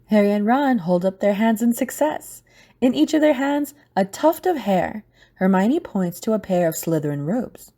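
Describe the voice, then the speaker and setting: A woman narrator tells a scripted audio play. Her voice is mellow and warm, with a very animated rise and fall. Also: medium pitch, moderate pace.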